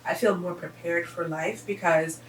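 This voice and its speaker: A woman's voice: lowish voice, fairly even intonation, moderate pace, reverberant, recorded from a distance, rough recording. A woman co-hosting a casual conversation, speaking emphatically and often jumping in with "hello" and "girl.".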